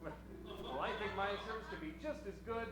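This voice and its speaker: A man's voice: high voice, brisk, close to an everyday voice. A man playing an eager, plucky young shoeshine boy in an improvised old-time radio show, with folksy exclamations. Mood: chirpy. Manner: folksy, exclamatory, gee-whiz. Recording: roomy, loud music behind.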